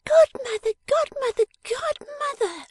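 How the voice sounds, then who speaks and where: A woman voicing a sharp, impatient, bossy young dolls' dressmaker in an audiobook, with emphatic, scolding delivery. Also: high-pitched, big pitch swings, measured pace, dry recording, studio quality, voice pushed up, heavily acted. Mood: irritated, scolding. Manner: emphatic, scolding, theatrical exclamations. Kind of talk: dramatised audiobook character reading.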